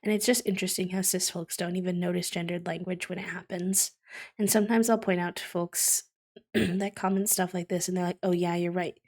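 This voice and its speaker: A woman with a fairly low voice chats casually on her livestream while she plays. Her voice is a little croaky, as if she just woke up. She talks in a thoughtful, hesitant way, with plenty of ums and likes. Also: steady tone, fairly quick, a little mellow. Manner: thoughtful, hesitant, many fillers. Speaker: streamer.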